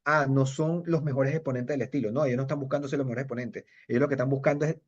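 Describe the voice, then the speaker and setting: A man's voice: mid-range voice, steady tone, racing. A middle-aged Venezuelan man with a Venezuelan Spanish accent, telling stories in a casual interview, rambling with many fillers and restarts. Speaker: kung fu master and judge, interviewee. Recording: dull lo-fi audio.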